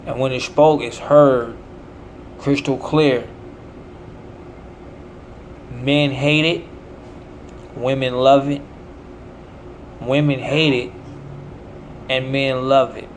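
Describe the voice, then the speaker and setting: A man's voice: mid-range voice, fairly even intonation, drawn-out words, long pauses, slight background noise. An American man delivering a rambling monologue in short, declamatory, preacher-like phrases. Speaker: self-promoting musician.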